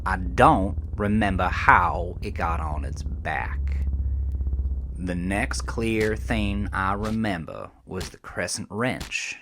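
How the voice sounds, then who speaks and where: An actor playing a shaken, defensive American trucker, telling a horror story in a coarse, folksy, rambling way for an audio drama. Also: male voice, low-pitched, slow, fairly bright tone, a little hiss or hum, heavily acted. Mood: grim, subdued. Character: shaken, defensive trucker confessing a horrifying roadside encounter. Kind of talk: dramatic first-person horror audio monologue.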